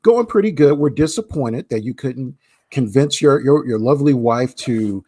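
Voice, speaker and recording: Husky-voiced man hosting a chat show. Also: medium pitch, expressive, normal speed, warm tone. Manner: playful, teasing. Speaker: co-host. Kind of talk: live casual chat.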